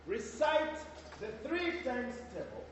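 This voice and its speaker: A man's voice: wildly swooping pitch, slow pace, full character voice. A man playing a tyrannical, bullying headmistress. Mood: scornful. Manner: booming threats, sneering insults. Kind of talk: amateur stage play acting. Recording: echoey hall, mic far off, noisy.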